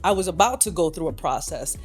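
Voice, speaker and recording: A woman's voice: fairly low pitch, animated, fairly quick, slightly rough, faint music behind. A woman from Brooklyn, New York, a podcast guest sharing her personal testimony in an earnest, reflective way.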